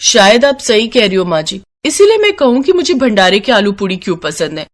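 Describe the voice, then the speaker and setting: A woman's voice: medium pitch, big pitch swings, a little fast, lightly acted. A woman voicing a young daughter-in-law in a moral story, eager, earnest and a little pleading. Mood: longing.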